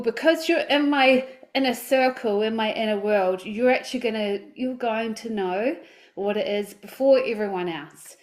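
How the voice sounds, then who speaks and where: A woman in her forties, a life coach, talking casually and upbeat on a livestream, with lots of fillers and restarts. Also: fairly high pitch. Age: 40s.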